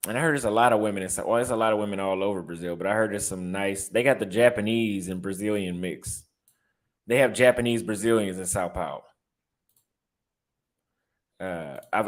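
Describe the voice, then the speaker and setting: A young man with a Texas accent, chatting casually in a vlog and reacting aloud as he browses apartment listings, repeating his phrases. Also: on the low side. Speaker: vlogger and barber.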